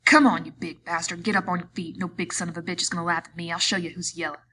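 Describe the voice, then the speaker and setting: A woman reading aloud, voicing a hot-tempered young man who gets loud and belligerent. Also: on the low side, brisk, a little hiss or hum. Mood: raging.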